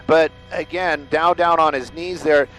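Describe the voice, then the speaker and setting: Higher-voiced American man reporting live. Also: steady tone, a bit bright, soft background music, low-quality recording. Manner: halting, repetitive, ad-libbed delivery. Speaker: helicopter news reporter. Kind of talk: live breaking news commentary on a police chase.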